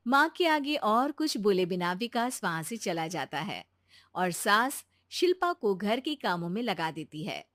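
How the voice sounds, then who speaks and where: A woman's voice: mid-range voice, big pitch swings, unhurried, a bit bright, no echo, studio quality. A woman narrating a scripted cartoon family story.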